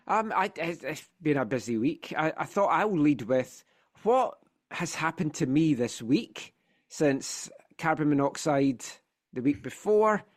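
A man's voice: fairly high pitch, a little slow. A middle-aged man with a Scottish accent, hosting a casual football podcast, chatty and playful, sounding a bit congested from a sinus infection. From Scotland. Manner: chatty, animated storytelling with playful asides. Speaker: podcast host.

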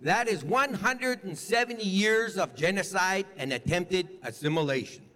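A man speaks solemnly to the public as an event co-host, reading from a script. His voice sits high for a man and moves with a lively, wide-ranging melody. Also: normal speed.

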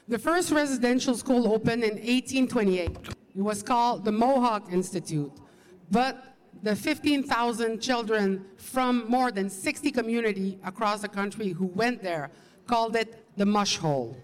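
A woman's voice: a little high, moderate pace. A woman from Quebec, a native French speaker, co-hosting an event with solemn, scripted public speech. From Quebec, Canada. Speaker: event co-host. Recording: long reverb of public-address sound.

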